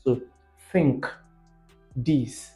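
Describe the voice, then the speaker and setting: A man's voice: medium pitch, drawn-out words, mellow. A man teaching a lesson, explaining didactically, asking questions and repeating key words for emphasis. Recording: muffled, echoey room with faint music behind.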